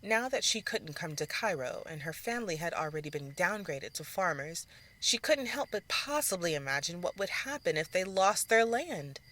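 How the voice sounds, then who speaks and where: A woman's voice: on the low side, big pitch swings, normal speed, fairly bright tone. A woman reading scripted narration for a fantasy story as its narrator. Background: faint music.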